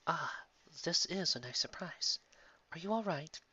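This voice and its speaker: Performer as a soft, bright-toned young stag. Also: lively intonation, slightly rough, no room sound, recorded from a distance, highs cut off, full character voice. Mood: warm, calm. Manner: soft, low-key, gentle, measured. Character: calm, kind young male stag, reassuring.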